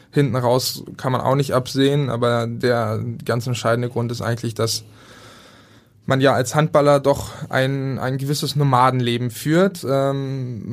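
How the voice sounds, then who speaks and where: Flat-voiced hesitant German man. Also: medium pitch. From Berlin, Germany. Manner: hesitant, many fillers and restarts. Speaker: handball goalkeeper. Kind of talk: interview answers.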